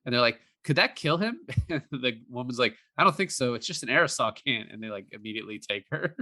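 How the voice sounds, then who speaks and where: Man, very bright sharp voice, average pitch, casual film review chat, jokey co-host. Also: expressive, fairly quick. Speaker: podcast co-host.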